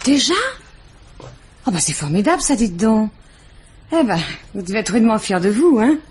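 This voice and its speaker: A woman's voice: a little high, very animated, moderate pace, darkish tone, husky, exaggerated voice. A woman playing a gushing, self-interested neighbour in a radio drama, chatty, hesitant and ingratiating. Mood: eager, flattering. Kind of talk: 1950s French radio drama acting.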